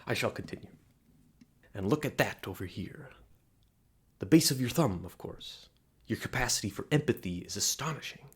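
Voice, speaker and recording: A man's voice: mid-range voice, expressive, normal speed, pauses often, husky. A man playing a flirty, theatrical palm reader at a fantasy inn, speaking in courtly, coaxing phrases to the listener in an intimate audio roleplay. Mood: intrigued, flirty.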